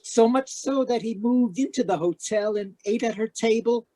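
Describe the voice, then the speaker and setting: A woman's voice: a little high, normal speed, dark tone, close to an everyday voice, low-quality recording. A woman playing a polite, gossipy and easily flustered hotel desk clerk in an old-time radio drama. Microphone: video call.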